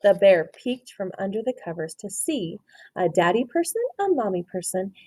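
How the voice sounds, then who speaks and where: A woman teacher gives an expressive read-aloud of a children's story. Her pitch is lively and moves freely. She stops to ask questions and explain things simply. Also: normal speed, slightly rough, dry recording.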